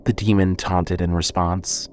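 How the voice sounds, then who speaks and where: A man narrating a scripted horror story as storyteller, measured and suspenseful. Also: low voice, level tone, slight background noise.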